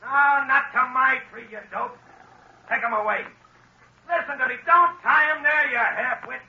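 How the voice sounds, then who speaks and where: An actor playing a hot-tempered, sneering gang boss who barks orders and shouts at his men, in 1940s radio acting on a lo-fi old broadcast. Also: very high-pitched, moderate pace, vocal fry, slightly rough, exaggerated voice. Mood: furious.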